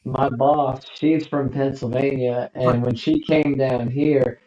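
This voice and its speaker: A speaker with a Southern accent, joining a casual live-stream chat and talking in a slow, drawn-out way. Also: mid-range voice, level tone, dark tone. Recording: dull echoey remote call audio.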